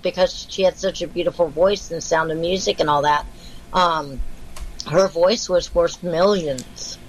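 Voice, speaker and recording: A woman's voice: on the low side, unhurried, slight background noise, rough recording. A woman in casual chat, explaining at length with many restarts, her voice faltering from a vocal condition.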